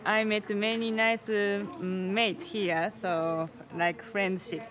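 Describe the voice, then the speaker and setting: A woman speaking slowly in halting English about friendship at the camp. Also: medium pitch, fairly even intonation, fairly bright tone, poor audio. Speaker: camp participant.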